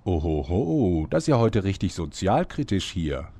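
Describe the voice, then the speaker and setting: An actor playing a wry, dramatic narrator with a husky voice in a scripted comedy audio drama. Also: male voice, low-pitched, very animated, normal speed, dark tone, heavily acted.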